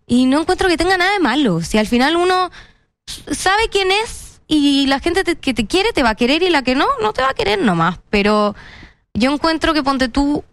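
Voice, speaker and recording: A woman's voice: high voice, very animated, moderate pace, a bit bright. A young woman with a Chilean Spanish accent, chatting as an interview guest in a playful, self-mocking way. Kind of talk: casual interview chat.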